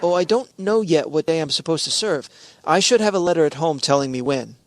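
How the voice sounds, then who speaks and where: Man as a higher-voiced, deferential office employee. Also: expressive, brisk, dry recording. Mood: wry, agreeable. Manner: hesitant, polite. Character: mild, deferential male employee and husband, wryly resigned. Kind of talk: old-time radio sitcom acting.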